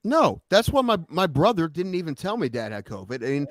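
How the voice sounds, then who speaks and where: A man's voice: fairly high pitch, very animated, brisk, no room sound. A man co-hosting a podcast in casual chat, rambling and swearing, a little sniffly. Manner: profane, rambling, worried. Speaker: podcast co-host.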